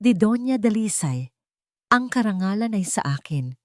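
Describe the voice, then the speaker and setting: A woman's voice: mid-range voice, sing-song, normal speed, a little mellow, no echo, pitched above the performer's own voice, close to an everyday voice. Male officer, read by a woman: proud, rattled, guilt-ridden, tense delivery, dry storybook recording. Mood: nervous. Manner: tense, snapping or faltering.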